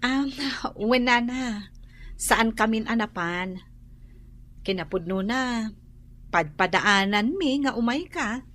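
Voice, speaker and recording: High-voiced, put-upon Filipina wife. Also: female voice, animated, slow, pauses often, a bit bright. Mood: offended, wary. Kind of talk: radio drama acting.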